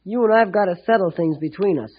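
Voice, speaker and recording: Humble boy, high-pitched, earnest, plain boyish talk, old radio drama. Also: fast talker. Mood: contrite, determined. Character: contrite, earnest boy seeking his gang's friendship. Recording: dull vintage radio recording.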